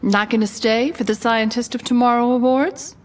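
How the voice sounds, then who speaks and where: A woman playing an inquisitive reporter who narrates her case like a noir sleuth, in deliberate dramatic audio drama style. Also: on the high side, steady tone, close to an everyday voice. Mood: probing.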